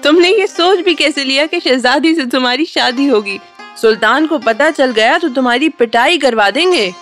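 A woman's voice: sits high, fairly bright tone, soft background music. An actress playing a humble, worried mother in a children's fairy-tale cartoon. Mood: scolding. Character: humble, worried mother of a poor young man.

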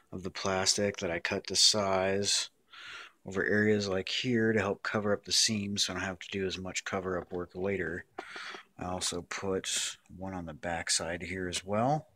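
A man's voice: low voice, sharp tone. A man giving a casual hands-on craft tutorial, explaining step by step in plain words.

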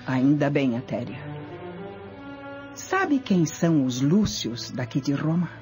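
An actress playing a vengeful, scheming Roman noblewoman in a radio drama, speaking imperiously and deliberately. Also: low voice, lively intonation, warm tone, faint music behind.

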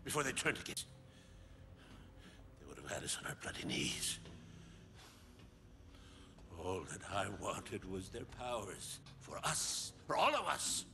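Bitter old tyrant, wildly swooping voice. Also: male voice, lowish voice, big pitch swings, creaky, full character voice. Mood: fervent, defiant. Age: older. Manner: halting, theatrical, self-justifying, lapsing into whispers. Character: bitter, defiant old man, deranged survivor and tyrant. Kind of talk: dramatic video game cutscene acting.

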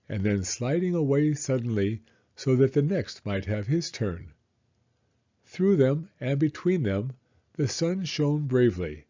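A man reading a children's story aloud in an expressive, deliberate storytelling manner. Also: mid-range voice, muffled recording. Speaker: storybook reader.

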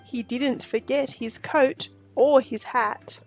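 A woman in her forties hosting a children's storytime, reading a picture book aloud with expressive, deliberate delivery. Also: sits high, vocal fry, faint music behind. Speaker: storytime host and reader.

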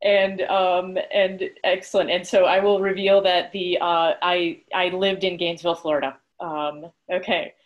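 A woman's voice: fairly low pitch, flat delivery, normal speed, few pauses, muffled recording. Upbeat woman co-hosting a video call. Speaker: workshop co-host. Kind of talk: facilitating an online session. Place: small room at home. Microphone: laptop webcam on video call.

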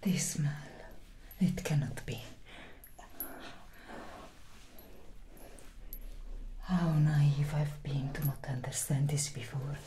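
A woman voicing an ancient, grave and protective elder vampire sister in a breathy, dramatic whisper for an ASMR roleplay. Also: sits low, fairly even intonation, mellow, slight background noise. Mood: grave dread. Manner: slow, dramatic, intense delivery. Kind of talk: soft-spoken ASMR roleplay.

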